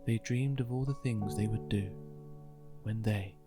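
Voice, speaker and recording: Kids storybook narrator, really unhurried gentle reading, music loud underneath. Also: mid-range voice. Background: music bed.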